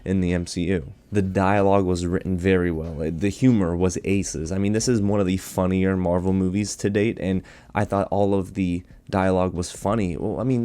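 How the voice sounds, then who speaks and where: A man's voice: deep voice, warm tone, dry recording. A man hosting his own channel, chatting enthusiastically to viewers in a casual movie review while getting over a cold.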